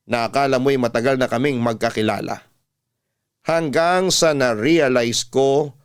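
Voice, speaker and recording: A man's voice: mid-range voice, smooth. Casting: Filipino male storyteller, mid-range voice, classic radio narration of a personal story.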